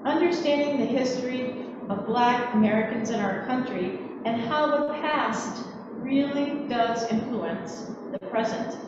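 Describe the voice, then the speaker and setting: An older woman preacher giving a sermon from a church pulpit in measured, deliberate phrases. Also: fairly high pitch. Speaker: Episcopal preacher. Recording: echoey church from far off, muffled, noisy.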